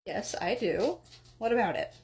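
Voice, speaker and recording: A woman voicing an exasperated goddess who scolds her husband, swinging between sharp outbursts and weary pleading. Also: medium pitch, animated, moderate pace, gravelly, a little hiss or hum. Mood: breezy. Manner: scolding, then pleading and tearful. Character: exasperated, emotional goddess wife.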